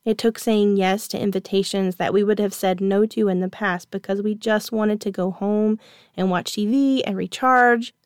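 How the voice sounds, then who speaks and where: A woman in her thirties hosting her own podcast, reading a reflective monologue in a gentle, earnest, measured way. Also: medium pitch, fairly even intonation, clear, resonant tone, no echo, clean studio sound. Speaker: podcast host.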